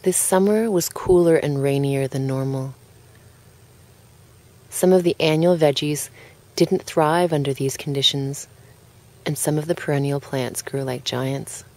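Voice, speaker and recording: A woman narrating a guided relaxation in a calm, gentle and unhurried way, leaving pauses between phrases. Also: low voice.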